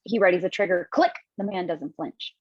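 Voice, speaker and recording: A woman's voice: fairly low pitch, normal speed, a little mellow, husky, dry recording. A woman narrating stage directions for an online table read, reading plainly and dropping the odd casual aside. Her mic sounds dull and dry.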